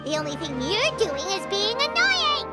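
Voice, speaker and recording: A woman voicing a small, childlike fairy companion in an anime video game, squeaky, bubbly and easily annoyed. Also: normal speed. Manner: bubbly, expressive, speaks of self in third person. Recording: music behind the voice.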